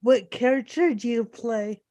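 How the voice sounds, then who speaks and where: A woman's voice: on the high side, a little slow, dark tone, no room sound. A woman talking casually in a group conversation as one of the participants. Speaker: table read participant.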